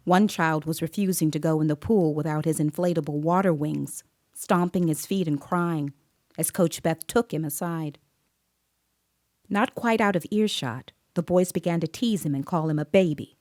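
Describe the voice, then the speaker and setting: An actress plays an observant woman who questions a child witness and narrates the story. Her voice is low and dark in tone, recorded dry and close, with restrained, precise delivery in an audio drama style. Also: unhurried, no room sound, lightly acted. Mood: composed, observant. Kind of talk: scripted first-person dramatic narration.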